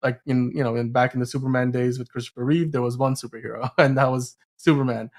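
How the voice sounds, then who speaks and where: A man's voice: medium pitch, fairly even intonation, fast. A man co-hosting a podcast, talking about film and TV in a casual, enthusiastic, rambling way. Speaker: podcast co-host.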